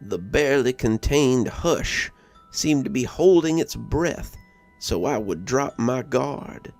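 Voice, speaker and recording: Slow, expressive male horror storyteller. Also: medium pitch. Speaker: narrator of horror stories. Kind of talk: scripted dramatic story narration. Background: quiet background music.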